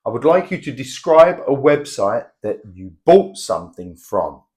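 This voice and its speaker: A man examining a student in a mock speaking test, giving clear and measured instructions. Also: medium pitch, very animated, a little mellow. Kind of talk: mock exam interview.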